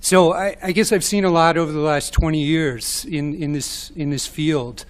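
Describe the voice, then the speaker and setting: A man with a New York accent speaking as a panelist, measured and thoughtful with some restarts. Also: fairly high pitch, fairly even intonation. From New York City. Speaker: panelist, media organization director. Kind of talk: panel talk.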